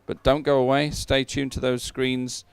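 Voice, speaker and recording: A man working as a live sports commentator and presenter, announcing results and schedules in an unscripted, halting way. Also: medium pitch, level tone, creaky, clear, resonant tone, no room sound. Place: open-air commentary position.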